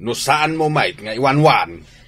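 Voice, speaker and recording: A sharp, scolding Ilocano father. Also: medium pitch, animated, unhurried. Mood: gruff, disapproving. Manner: scolding, blustering. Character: harsh, short-tempered father scornful of his effeminate child. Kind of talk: Ilocano radio drama acting.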